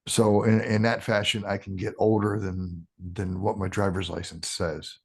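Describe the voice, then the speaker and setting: A man in his sixties, a podcast guest talking casually in a halting way, restarting sentences with ums. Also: fairly low pitch, level tone, husky. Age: 60s. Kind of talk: casual interview talk.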